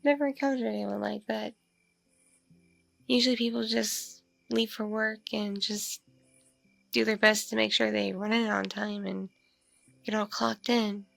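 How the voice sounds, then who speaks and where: A woman playing a mature, flirtatious, spacey female vampire in an ASMR roleplay, speaking softly and hesitantly, as if in a one-sided intimate conversation. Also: medium pitch, fairly even intonation, gravelly, dry recording, soft background music. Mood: curious, teasing. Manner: hesitant, murmuring, lingering pauses with ums and mms. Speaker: roleplay voice performer.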